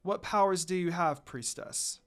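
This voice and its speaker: Guy reading a noble demon mom in a story, formal and probing but soft underneath, highish for a male voice. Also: measured pace, faint music behind. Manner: formal, questioning.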